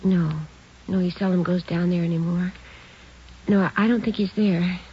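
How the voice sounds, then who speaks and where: A woman's voice: lowish voice, steady tone, moderate pace, slight background noise, close to an everyday voice. An actress playing a polite, worried mother in her early forties in a 1950s radio drama. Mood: growing worry. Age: middle-aged. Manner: hesitant, anxious, polite. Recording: dull, fuzzy old radio recording.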